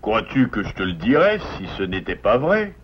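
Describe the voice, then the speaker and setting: A man voicing a caring, firm but loving father beaver in a French cartoon, expressive and gently lecturing. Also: fairly low pitch, normal speed, breathy edge. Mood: tender, reproachful. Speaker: voice actor. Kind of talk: French cartoon dub acting. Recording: dull lo-fi cartoon soundtrack, music behind.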